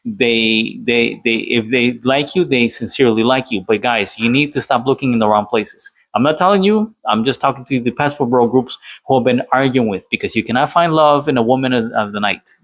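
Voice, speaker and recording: A man's voice: medium pitch, a little fast, hardly any pauses, no echo, rough recording. A man from Colombia giving casual, opinionated commentary as a channel host, rambling with many fillers and restarts. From Colombia, Cali. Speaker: channel host reacting to a clip.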